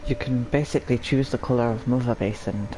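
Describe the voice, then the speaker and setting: Hoarse, phlegmy man chatting over game music. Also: mid-range voice, brisk, warm tone, rough recording. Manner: rambling, apologetic, with throat clearing. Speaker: gaming channel host. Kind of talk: casual gameplay commentary. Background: video game music.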